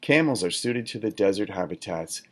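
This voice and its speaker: A man reading a children's nonfiction book aloud in a deliberate, instructional manner, like a teacher. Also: fairly low pitch, animated. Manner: deliberate, instructional, poses questions to listeners. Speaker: read-aloud reader, teacher.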